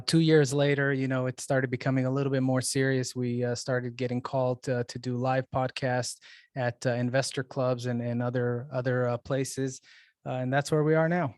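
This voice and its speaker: A man's voice: mid-range voice, dry recording, studio quality. A middle-aged man speaking casually and off the cuff as a panel guest on a video call, with conversational, hesitant phrasing. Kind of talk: casual, unscripted self-introduction.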